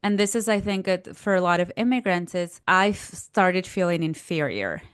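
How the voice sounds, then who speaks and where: A woman's voice: fairly low pitch, expressive, moderate pace, smooth, dry recording, studio quality. A woman from Peru with a Peruvian Spanish accent, telling personal stories in a podcast conversation and acting out little quotes as she goes. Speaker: podcast guest, career coach.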